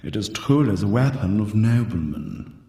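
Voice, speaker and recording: An actor playing a vain, condescending centaur prince in a game, with a haughty drawl. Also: male voice, on the low side, animated, moderate pace, warm tone. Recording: roomy echo from far off.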